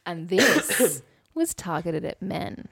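A woman's voice: lowish voice, big pitch swings, takes it slowly, creaky, a bit bright, husky, no echo. A young woman co-hosting a podcast in casual conversation, candid and wry, repeating things for emphasis. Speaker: podcast co-host. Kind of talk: casual conversational chat and storytelling.

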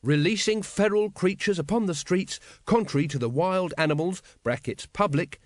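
A man's voice: high-pitched, moderate pace, no room sound, clean studio sound, voice pushed up, lightly acted. A man voicing an eager, earnest, naive young watchman who recites rules precisely in a storybook. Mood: triumphant, happy.

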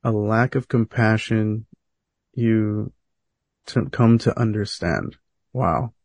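A man's voice: on the low side, slow pace, a little mellow, no room sound. A man hosting a casual conversational interview, listening attentively and paraphrasing what he hears. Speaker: podcast host, interviewer.

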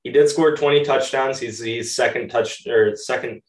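A man's voice: medium pitch, moderate pace. A young man who sounds a little stuffed up, co-hosting a podcast with casual fantasy football analysis. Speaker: podcast co-host. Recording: roomy echo, distant from mic.

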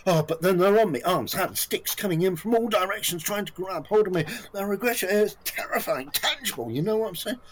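A comic audio-play figure, acted by a man: an over-excitable British job candidate who justifies himself at length. His rather high voice leaps around melodramatically, over a noisy ambient background. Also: a little fast, few pauses. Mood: dramatic, panicky. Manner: rambling, melodramatic, self-justifying. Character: clueless, self-pitying, over-excitable male job candidate.

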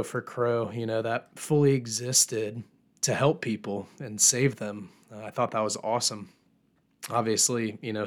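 A young man talks through his solo podcast, his voice hoarse after being sick. He speaks in an earnest, casual way, often pausing on ums and uhs as he works through his points. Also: medium pitch. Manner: casual, filler-heavy, earnest. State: hoarse from recent illness. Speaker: podcast host.

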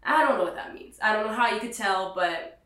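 Raspy young woman, stuffed-up nose, chatting, echoey room a bit off mic. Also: mid-range voice, expressive, fairly quick, a bit bright, distant mic. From California. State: nasal from a cold. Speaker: podcast co-host. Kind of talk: casual chat.

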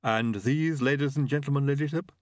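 A man's voice: mid-range voice, a little fast, a little mellow, no echo, clean studio sound, pitched above the performer's own voice, natural voice. A man voicing a deferential, shuffling butler with a formal, muttering manner in an audiobook. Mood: deferential, guarded. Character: Igor, deferential, shuffling male butler servant.

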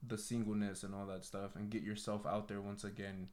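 A man's voice: fairly low pitch, little pitch movement, a little fast, a little mellow. A man hosting a podcast in a casual, conversational way, rambling and correcting himself as he explains. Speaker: podcast host.

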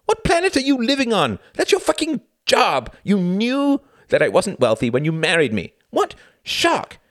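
A man's voice: medium pitch, wildly swooping pitch, brisk, creaky, fairly bright tone, no room sound, studio quality, voice pushed up, exaggerated voice. A man playing a sarcastic, furious young husband, ranting and condescending in acted audiobook dialogue. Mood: bitter sarcasm. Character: enraged sarcastic husband, young graduate student in a failing marriage.

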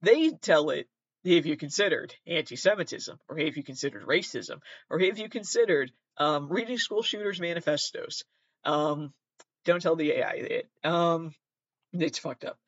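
A man with a fairly high voice gives loose, off-the-cuff reaction commentary. He is sardonic and sweary, often half talking to himself. The sound is slightly dull and lo-fi. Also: moderate pace, fairly bright tone, no echo. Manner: sardonic, sweary asides and muttered self-talk. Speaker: host. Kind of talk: casual rambling reaction commentary, reading aloud from web articles.

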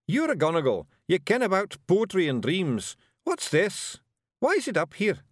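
A man voicing a tiny, commanding male fairy-folk leader with a forceful Scottish accent in a storybook. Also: high-pitched, wildly swooping pitch, normal speed, no room sound, studio quality, voice pushed up, heavily acted. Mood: puzzled, impatient. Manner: forceful, urgent, plain-spoken. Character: tiny gruff male Feegle leader, commanding, fiercely protective.

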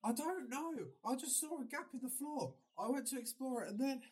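A man's voice: extremely high pitch, brisk, slightly rough. A young man acting a cautious, reluctant, hesitant friend in a radio drama. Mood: shaken.

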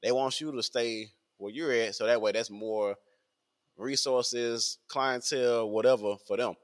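A man's voice: medium pitch, fairly even intonation, unhurried. A man, talking in a rambling casual podcast monologue full of fillers and repeats. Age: 20s.

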